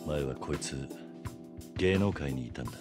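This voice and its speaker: An actor playing a stoic, gruff middle-aged man, terse and restrained, in a video game cutscene. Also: a bass voice, normal speed, loud music behind the voice. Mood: serious, reserved.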